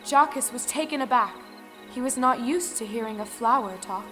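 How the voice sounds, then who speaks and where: A woman's voice: on the high side, normal speed, pushed and bright. A woman telling a folktale as dramatic audio play narration. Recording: reverberant, faint music underneath.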